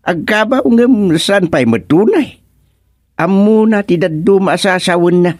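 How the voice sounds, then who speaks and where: Animated, singsong villager. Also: male voice, high voice, measured pace, dry recording, studio quality. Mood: pitying, musing. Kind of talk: comedic Ilocano radio drama acting.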